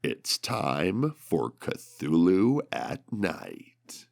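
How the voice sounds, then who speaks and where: A man narrating a horror tabletop show in a dramatic, deliberate manner. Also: deep voice, big pitch swings, vocal fry, dry recording, clean studio sound. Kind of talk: scripted horror narration and game talk.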